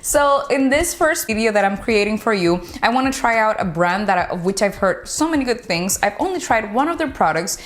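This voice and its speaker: A woman's voice: mid-range voice, animated, brisk, hardly any pauses. A young woman with a Dominican accent, a native Spanish speaker, chatting upbeat in a casual hair product review vlog. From Dominican Republic. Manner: chatty and upbeat, laughs often, says "like" a lot. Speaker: hair care vlogger. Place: home bathroom and shower.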